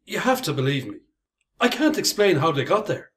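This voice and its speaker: A man's voice: a little high, very animated, normal speed. An actor playing an anxious, earnest working man in a radio play, stammering and agitated. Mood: pleading. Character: anxious, wrongly accused working man pleading innocence.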